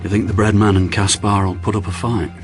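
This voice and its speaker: An actor playing a diffident, dutiful British police sergeant in a radio drama. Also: male voice, lowish voice, flat delivery, brisk, music in the background, close to an everyday voice. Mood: uneasy. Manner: diffident, compliant. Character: hesitant, dutiful male police sergeant, unsure of himself. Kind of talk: naturalistic radio drama acting.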